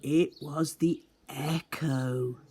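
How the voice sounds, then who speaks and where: Woman as a slow, wise old beaver. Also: deep voice, fairly even intonation, slight background noise. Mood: wise, measured. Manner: slow, measured, explaining gently. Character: wise old male beaver, solitary, calm, kindly. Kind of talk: children's storybook character voice.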